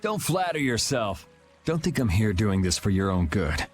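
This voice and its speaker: A man's voice: lowish voice, big pitch swings, normal speed, soft background music. A man playing a cool, blunt young swordsman with a dry, matter-of-fact manner in an anime game dub. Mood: stern, dismissive. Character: cool, blunt young swordsman, world-weary but principled.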